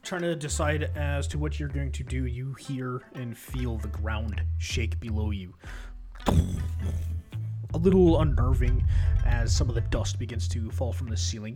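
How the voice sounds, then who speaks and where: A man hosting and narrating a tabletop game as game master, upbeat and chatty. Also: fairly high pitch.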